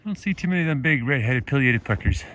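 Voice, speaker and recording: A man's voice: mid-range voice, lively intonation, quick pace, a bit bright. A man in his forties with a Canadian accent, chatting casually and in a rambling, folksy way as he vlogs into a phone outdoors. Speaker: vlogger. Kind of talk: casual vlog chat. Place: mostly outdoors walking on a snowy rural road. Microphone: phone at arm's length.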